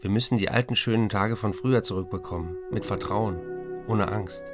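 An actor playing a troubled, remorseful male writer reading his letters in a radio play, with a creaky voice. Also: lowish voice, brisk, lightly acted. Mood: remorseful, earnest. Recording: music under the voice.

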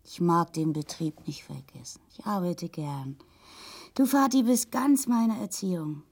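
An actress in a radio play playing a weary, callous housewife, theatrical and husky, drifting into breathy whispers. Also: female voice, on the low side, unhurried, darkish tone. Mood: weary, intimate. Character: weary, callous East Berlin housewife and mother, darkly grotesque. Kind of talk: German radio play acting, grotesque.

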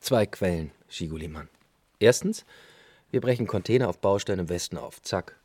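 An actor playing a playful, idealistic bohemian man in a radio play, with a husky voice and teasing, clipped phrases. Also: deep voice, expressive, moderate pace, darkish tone, dry recording. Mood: earnest, sly. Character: Henry, bohemian male squatter friend, playful and idealistic. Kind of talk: German radio play dialogue.

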